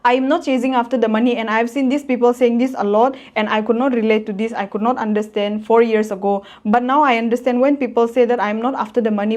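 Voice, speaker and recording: A young woman in her twenties with a slightly high voice, vlogging from her seat at home. She talks to camera in an earnest, blunt, emphatic way, pressing her points plainly. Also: darkish tone. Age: 20s. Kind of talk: casual motivational talk to camera.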